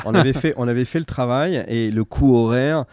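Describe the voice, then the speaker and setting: A man's voice: medium pitch, moderate pace, a little mellow. A man from Morocco, a company founder, answering interview questions in a hesitant way, with many fillers and restarts.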